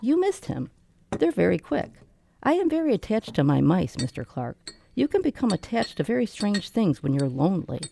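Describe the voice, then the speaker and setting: A woman playing a gentle, lonely, eccentric old widow in a radio drama, chatty and politely hospitable. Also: mid-range voice, sing-song, normal speed, gravelly, a little hiss or hum. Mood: gentle, wistful.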